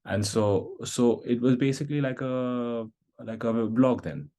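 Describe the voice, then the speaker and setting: A man hosting a casual interview podcast, asking questions in a relaxed way with many fillers. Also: medium pitch, a little slow. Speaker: podcast host.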